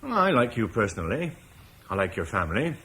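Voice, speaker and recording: A blunt, scornful war leader with a lowish, husky voice that swings widely in pitch. He talks forcefully and bluntly in a classic radio play, sure of his own plans and dismissive of old ways. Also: very animated, a little fast, heavily acted. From Cornwall. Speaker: military commander. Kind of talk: classic radio drama acting.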